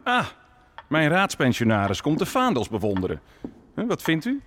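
An actor playing a young, confident prince and army commander, assertive and dismissive, in a radio drama. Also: male voice, on the high side, huge pitch swings, moderate pace, fairly bright tone, soft background music. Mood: proud, enthusiastic. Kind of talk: Dutch radio drama acting.

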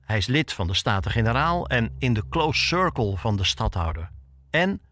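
A man narrating a scripted documentary podcast as its reporter, telling the story in short, measured phrases. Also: mid-range voice, very animated, fairly bright tone.

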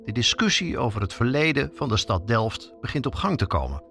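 A Dutch man presents a podcast as its reporter, reading scripted narration at a middling pitch. His intonation is animated and moves freely up and down. Music plays behind his voice. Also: brisk, vocal fry. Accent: Netherlands Dutch.

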